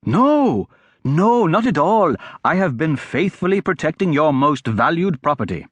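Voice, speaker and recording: Man playing a teenage street urchin, fantasy audiobook, raised pitch, excitable, flattering, cheeky, swooping intonation. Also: a little slow, breathy edge, no echo, exaggerated voice. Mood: eager, flattering. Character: eager, cheeky adolescent street boy in fantasy tale. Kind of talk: audiobook character acting.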